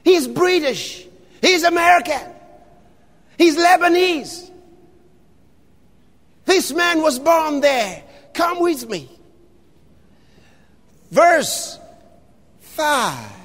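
Man, preacher, impassioned sermon, very high voice, lively swooping pitch. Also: long reverb tail. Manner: impassioned, shouting scripture with long drawn-out phrases.

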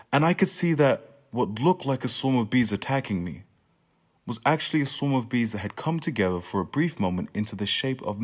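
Man acting as frustrated male playwright, sardonic, profane, confessional, emphatic, radio play monologue, very animated pitch. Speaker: student actor.

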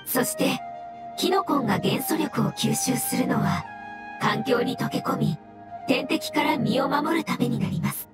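A gentle, wise fungus creature from a video game, played by a woman, speaks in a high voice. The delivery is polite, formal and measured, like patient explanation. Music plays strongly underneath. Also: lightly acted. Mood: calm, explanatory. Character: calm, polite, wise talking mushroom spirit creature. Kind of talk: scripted video game dialogue. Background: background music.

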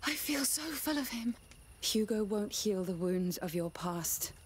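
A woman playing a calm, ominous figure in a video game, speaking with hushed solemnity. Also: mid-range voice, unhurried, husky. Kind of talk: dramatic video game acting.

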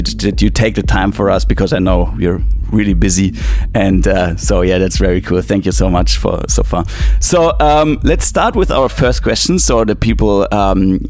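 A man hosts a podcast, welcoming guests and hesitating over his words with many fillers. His voice is a little low, and faint music plays behind him. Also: darkish tone, dry recording. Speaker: podcast host. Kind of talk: casual interview talk.

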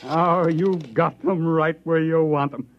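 Gruff old general, highish-voiced, radio drama. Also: male voice, steady tone, takes it slowly, noisy background. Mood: eager, confiding. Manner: blustering, emphatic. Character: gruff, exasperated retired army general, older man. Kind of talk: 1950s radio drama acting. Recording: dull old-time radio recording.

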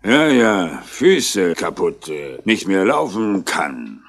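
An actor playing a weary, footsore man who talks in halting, broken fragments in a 1960s radio play. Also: low-pitched, big pitch swings, heavily acted. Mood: weary, evasive. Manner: halting, broken telegraphic German.

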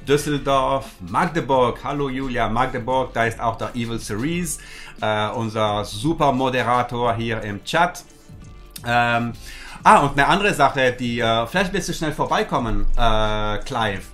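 A man in his forties hosting a livestream from a dark studio, speaking hesitant German full of fillers and restarts. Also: medium pitch, unhurried, faint music behind. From United States. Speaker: host.

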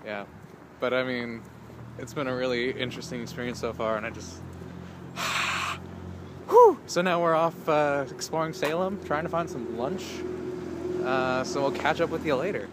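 A teenage boy vlogging to a phone held at arm's length outdoors, chatty and excitable with lots of fillers. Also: medium pitch, moderate pace, background noise. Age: teens. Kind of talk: casual vlog. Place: outdoors on city streets. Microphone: phone camera at arm's length.

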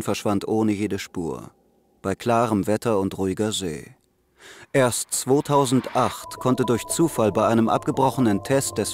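A man giving measured, matter-of-fact scripted narration. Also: fairly low pitch, darkish tone.

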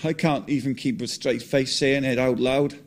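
A man voicing a man revived from the dead who breaks from composure into shouting rage. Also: mid-range voice, fairly even intonation, normal speed, lightly acted. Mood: shouting anguish. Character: grieving, furious man revived from death.

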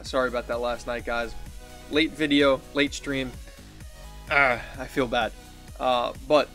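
Young guy gaming streamer, deep raspy morning voice, rambly, faint music under it. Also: a bit bright. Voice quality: deep and raspy after waking. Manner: rambling, self-correcting, friendly. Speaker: game streamer. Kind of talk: casual gaming vlog talk. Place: small home room. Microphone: studio mic on boom with pop filter, headphones.

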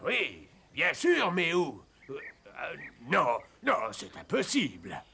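Kindly old mountain grandpa, grave, fairly high for a man, gravelly, cartoon dub. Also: wildly swooping pitch, slow pace, pushed and bright, slight background noise, full character voice. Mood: bewildered. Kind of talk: French animated film dub. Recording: music behind the voice.

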